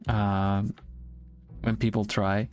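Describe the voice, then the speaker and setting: Halting man chatting, faint music. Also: on the low side, level tone, darkish tone. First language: Swedish. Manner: halting, repeats words while searching for them. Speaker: streamer. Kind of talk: casual chat on a live art stream.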